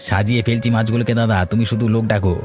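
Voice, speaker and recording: A man's voice: fairly low pitch, little pitch movement, rapid-fire, darkish tone, soft background music, lightly acted. A man playing an eager, busy village brother who works in the family fish trade, in a cartoon. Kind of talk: Bengali cartoon dialogue.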